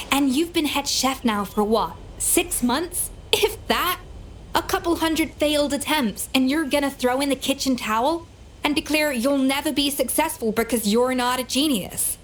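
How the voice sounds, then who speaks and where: High-voiced, blunt young genius chef. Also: female voice, a little slow, slight background noise. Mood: exasperated. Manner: blunt, scolding, confident. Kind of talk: English video game voice acting.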